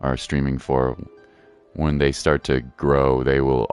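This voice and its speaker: A man chatting casually and encouragingly as he hosts a game livestream, using relaxed slang. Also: a bass voice, measured pace, smooth, soft background music. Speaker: game streamer and host.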